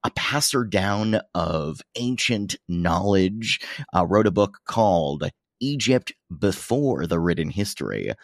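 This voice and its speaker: A very deep-voiced man hosts a podcast interview, speaking in a halting, searching way full of fillers and restarts. The recording is dry and close, with no room sound. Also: very animated, dry recording. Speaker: podcast host. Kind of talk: conversational interview.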